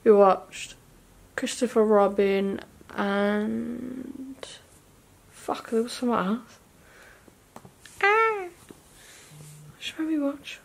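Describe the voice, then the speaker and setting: A woman's voice: on the high side, extremely slow, vocal fry, long pauses. Young woman vlogger, sweary and rambling, handheld camera in a hotel room. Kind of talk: casual vlog chat. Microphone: phone or camera at arm's length.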